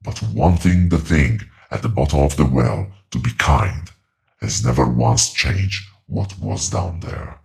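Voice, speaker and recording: An actor playing an ancient, sorrowful otherworldly witness to the dead in a horror audio drama, solemn and ominous in measured phrases. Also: male voice, very deep voice, creaky, a little mellow, full character voice. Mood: pained, grave.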